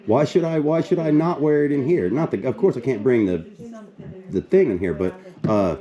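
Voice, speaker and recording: A loud, blunt man chatting casually before a public meeting, with a slight stutter. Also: medium pitch, animated, moderate pace. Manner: blunt, joking, restless. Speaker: meeting attendee. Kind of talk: casual chat.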